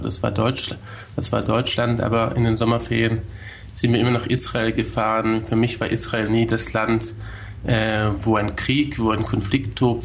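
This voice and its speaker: A man's voice: mid-range voice, vocal fry, slight background noise. A man joining a talk show as a guest over a video call, speaking in a hesitant, thoughtful way with many fillers. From Germany. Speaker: guest, tour guide. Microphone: video call from home.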